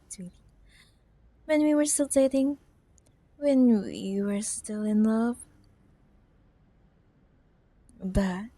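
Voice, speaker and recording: A woman's voice: on the high side, dark tone, dry recording. A woman playing a possessive, teasing cyberpunk CEO ex-girlfriend in an ASMR audio roleplay, condescending, drawing out her words and pet names. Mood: mocking, teasing, then teasing menace.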